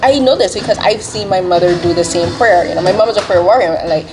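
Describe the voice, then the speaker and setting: A woman in her forties from Barbados, interviewing a filmmaker in a casual, animated way, laughing often and quoting herself. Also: on the low side, fast talker, poor audio. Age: 40s.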